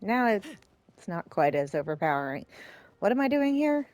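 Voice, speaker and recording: A woman's voice: mid-range voice, sing-song, normal speed, darkish tone, dry recording. A woman streamer giving casual let's play commentary in a rambling, hesitant, self-deprecating way. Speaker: game streamer, host.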